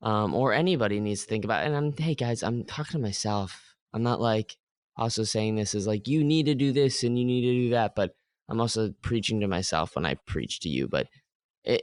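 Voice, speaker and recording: A man's voice: medium pitch, lively intonation, fairly quick, no room sound. A young man hosting a podcast, talking casually with lots of "like" fillers. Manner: rambling, many "like" fillers. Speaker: podcast host. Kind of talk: casual interview chat.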